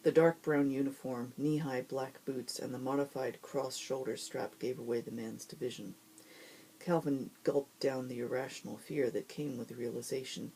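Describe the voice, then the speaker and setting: A host reading fiction aloud and introducing it informally, with expressive storyteller delivery. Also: medium pitch, normal speed, slight background noise, rough recording.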